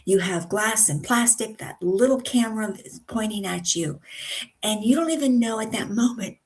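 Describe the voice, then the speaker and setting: Woman coaching on a live stream. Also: mid-range voice. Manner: instructive, deliberate, with emphatic pauses. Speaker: communication coach. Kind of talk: live-streamed podcast talk. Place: seated indoors at a computer.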